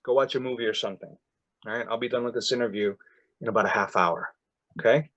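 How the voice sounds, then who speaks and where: A man playing a doting, harried father in a play performed as a video call, hurried but indulgent and gently scolding. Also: mid-range voice, fairly even intonation, lo-fi sound, close to an everyday voice. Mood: placating.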